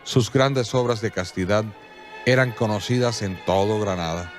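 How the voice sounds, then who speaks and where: A man narrating a radio drama in a measured storytelling manner, with soft violin music behind. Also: fairly low pitch.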